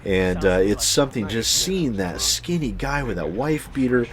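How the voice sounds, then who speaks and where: A man telling a personal anecdote in a casual, sweary, animated storytelling style, as the host of a video. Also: fairly low pitch, moderate pace, crowd noise.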